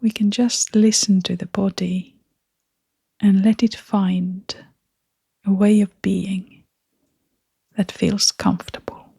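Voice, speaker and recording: Soft breathy woman doing guided meditation, super slow, barely changes pitch, close dry sound. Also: little pitch movement, no echo. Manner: gentle, hushed, breathy, with long pauses between short phrases.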